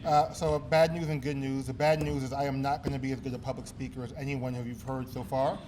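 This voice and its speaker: A man's voice: medium pitch, little pitch movement, quick pace, background noise. Chatty New man at a podium. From East New York, Brooklyn. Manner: self-deprecating, chatty, full of ums and uhs. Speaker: award honoree giving a speech. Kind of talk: informal acceptance speech. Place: gala banquet hall.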